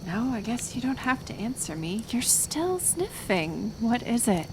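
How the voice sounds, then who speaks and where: A woman playing a kind, flustered, curious healer in an intimate ASMR roleplay, speaking softly and hesitantly. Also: a little high, big pitch swings, noisy background. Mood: gentle, puzzled. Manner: halting, soft, hesitant delivery. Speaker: roleplay audio performer.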